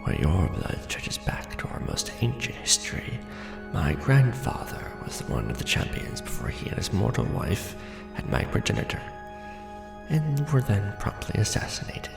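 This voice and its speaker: A deeply gravelly, courtly vampire lord acted out by a man murmurs in a theatrical ASMR roleplay. His low delivery is formal, deliberate and breathy, with a brooding, possessive air. The voice sits in a roomy echo with music playing behind it. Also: wildly swooping pitch, exaggerated voice. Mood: courtly, explaining. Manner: formal, hushed, deliberate, theatrical aristocratic address.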